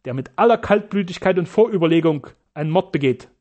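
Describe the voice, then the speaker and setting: A man's voice: a little high, fairly quick, muffled recording, lightly acted. A man voicing a fearless German doctor in an audiobook, speaking formally and accusing his rivals heatedly. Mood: indignant.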